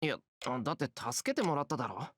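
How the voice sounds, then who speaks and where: A man's voice: a little high, huge pitch swings, racing, a bit bright, slight background noise. A man playing an earnest, bewildered teenage schoolboy hero in a Japanese visual novel. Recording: background music under game dialogue.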